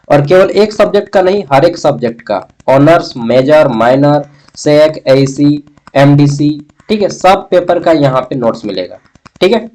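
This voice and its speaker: A man's voice: a little high, darkish tone, rough recording. A man giving an instructional exam-prep lecture, explaining point by point with frequent check-in tags. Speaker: online teacher.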